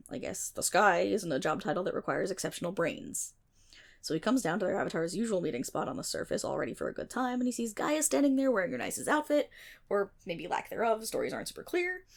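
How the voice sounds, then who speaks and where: Woman acting as female best friend, nerdy, chatty, sarcastic, animated intonation, crackly vocal fry, casual ASMR roleplay storytelling. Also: medium pitch. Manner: rambling, wry, sarcastic, theatrical asides.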